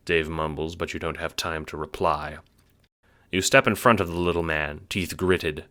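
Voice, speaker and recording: Deep-voiced man narrating audio drama. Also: fairly even intonation, normal speed, bright, forceful tone. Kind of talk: dramatic scripted second-person narration.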